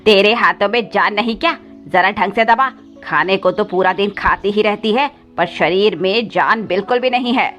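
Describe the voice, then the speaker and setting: A woman's voice: medium pitch, normal speed, few pauses, fairly bright tone, natural voice. A woman voicing a cruel, scheming male crow stepfather with a sly, scolding manner in a cartoon moral story. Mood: angry scolding. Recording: slightly dull audio with background music.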